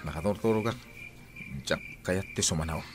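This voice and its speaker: An actor playing an irritable, defiant husband in a radio drama, with an Ilocano accent. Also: male voice, low voice, normal speed, music in the background, close to an everyday voice. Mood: irritated.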